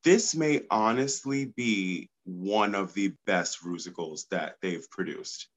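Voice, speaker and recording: A man's voice: low-pitched, slow pace. A congested man, a podcast guest, talking enthusiastically and outspokenly. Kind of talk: casual podcast chat.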